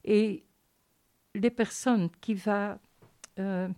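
A woman whose first language is Dutch, speaking as a talk show guest in halting French with pauses mid-sentence. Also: medium pitch, steady tone, mellow.

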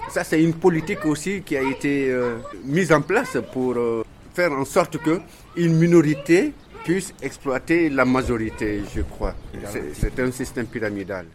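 A man giving an opinionated, off-the-cuff answer in a street interview, pausing and posing rhetorical questions. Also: mid-range voice, crowd noise.

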